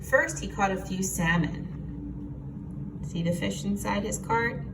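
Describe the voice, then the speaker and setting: A woman reads a picture book aloud at an unhurried pace, pausing to explain things and put questions to young listeners like a teacher. The recording is noisy, with the microphone some way off. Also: medium pitch, vocal fry, recorded from a distance. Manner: expressive, explains words and asks listeners questions. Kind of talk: read-aloud storytelling with asides to children.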